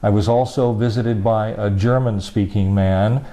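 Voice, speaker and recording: Man reading slowly in a low, dark voice. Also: fairly even intonation. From United States. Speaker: reader of a statement. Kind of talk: solemn measured reading.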